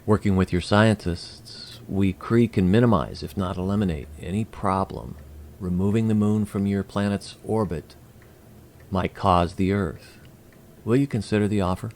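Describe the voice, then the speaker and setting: A man playing a courteous alien envoy in an audio drama, speaking formally and deliberately with precise wording. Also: low-pitched, darkish tone, a little hiss or hum. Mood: formal, firm. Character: courteous, measured male alien envoy from Kree. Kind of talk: scripted audio drama acting.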